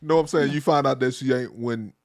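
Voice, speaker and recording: A man's voice: mid-range voice, fairly quick, a little mellow, dry recording, clean studio sound. A male pastor co-hosting a casual talk show, teasing and making jokes. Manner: teasing, jokey. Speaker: pastor and podcast co-host. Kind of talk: casual chat.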